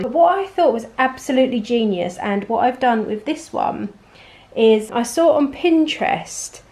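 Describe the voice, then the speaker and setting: A woman in her forties with an English accent, hoarse from a cold, chatting upbeat through a home recipe tutorial. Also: on the high side, darkish tone. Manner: chatty and upbeat, rambling asides. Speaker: home cooking vlogger.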